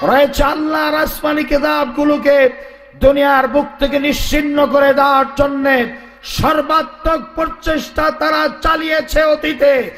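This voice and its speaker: High-pitched hoarse preacher man, echoing. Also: little pitch movement, a little slow, a bit bright. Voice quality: hoarse, strained. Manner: declamatory, rhetorical, calling on listeners to respond. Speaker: Islamic preacher. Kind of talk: fiery sermon to a live congregation. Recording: echoey PA sound, faint crowd.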